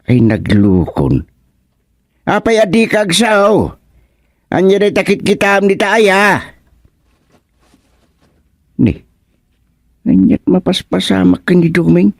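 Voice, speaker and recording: A bumbling, jumpy village man acted by a man in a comedy radio serial. He speaks slowly in a fairly high voice, stammering and exclaiming, recorded dry and close. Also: big pitch swings, gravelly, no echo, full character voice. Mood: puzzled, concerned. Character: startled, bumbling village man talking to a frog. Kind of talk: comedy radio drama acting.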